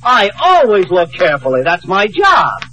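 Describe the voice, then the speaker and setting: An American actor playing a fussy, proud middle-aged records clerk in an old radio sitcom, speaking in a prim, officious way. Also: male voice, high voice, wildly swooping pitch, moderate pace, bright, forceful tone, highs cut off, full character voice. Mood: indignant. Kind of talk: 1940s-50s radio sitcom acting. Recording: old radio recording, faint studio audience.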